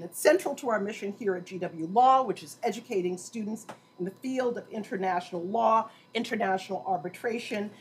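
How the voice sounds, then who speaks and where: A woman's voice: mid-range voice, a little hiss or hum, poor audio. A woman dean giving a formal, emphatic welcome to a lecture audience from a podium, with dry asides. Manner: emphatic, warm ceremonial delivery with dry asides.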